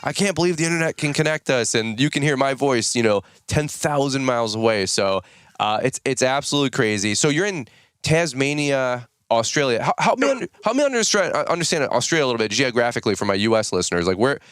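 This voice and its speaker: An American man in his thirties hosting a podcast in casual, rambling chat, his voice a little raspy from just waking up. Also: mid-range voice, expressive, fast, fairly bright tone, no room sound, studio quality. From United States. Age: 30s. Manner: chatty, rambling, folksy. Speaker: podcast host.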